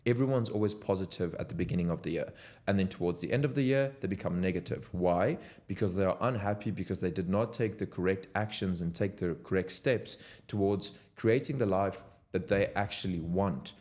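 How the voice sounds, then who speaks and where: A young man speaks in a deep, low voice. He talks to the camera from his desk at home, earnest and motivational. He sounds direct and unscripted. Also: brisk, darkish tone. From South Africa. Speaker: content creator. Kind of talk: unscripted talking-head vlog.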